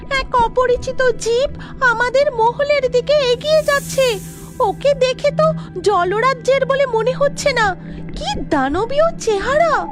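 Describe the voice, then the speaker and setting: A woman's voice: extremely high pitch, voice pushed up. A woman voicing a worried, earnest young mermaid princess in a cartoon, speaking anxiously.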